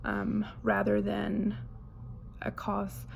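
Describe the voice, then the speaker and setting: Woman, English speaker, halting with many pauses, monotone, low and unhurried. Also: vocal fry, a little hiss or hum. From Germany. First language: German. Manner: hesitant, frequent "um" pauses. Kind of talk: spoken reading response.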